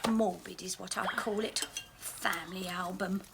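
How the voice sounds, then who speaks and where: A scornful nagging wife. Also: female voice, medium pitch, fairly even intonation, creaky, fairly bright tone. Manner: scornful, sarcastic, quick retorts. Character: sharp-tongued nagging working-class wife. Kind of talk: radio drama acting.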